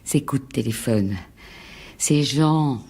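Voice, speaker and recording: Anxious, husky-edged insistent wife. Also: female voice, extremely low pitch, warm tone, exaggerated voice. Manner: halting, pleading, repeats words. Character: frightened, fragile wife, jealous and insistent, feeling persecuted. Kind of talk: French radio drama acting.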